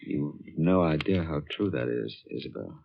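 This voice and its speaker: An actor playing a restrained, protective gentleman friend, polite and soothing, in 1940s radio drama style. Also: extremely low pitch, lively intonation, normal speed, gravelly, a little hiss or hum, heavily acted. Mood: restrained concern. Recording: muffled vintage 1940s radio recording.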